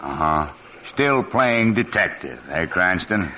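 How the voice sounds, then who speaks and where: An actor playing a blunt, skeptical, impatient police commissioner in a 1940s radio crime drama. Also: male voice, low voice, very animated, takes it slowly, bright, forceful tone, heavily acted. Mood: skeptical, sardonic. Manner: blunt, sardonic. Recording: dull, noisy old radio recording.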